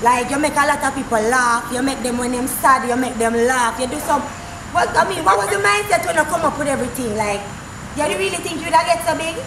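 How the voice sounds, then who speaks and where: A woman's voice: high voice, brisk, reverberant, noisy background. A young woman with a Jamaican accent hosting a chatty, joking interview outdoors at night. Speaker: host. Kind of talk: casual interview. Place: outdoors at night by a parking lot.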